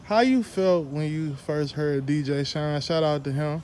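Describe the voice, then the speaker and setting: A man's voice: on the high side, unhurried, a little mellow, a little hiss or hum. A man doing a casual, slangy interview as the interviewer. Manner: slangy, informal.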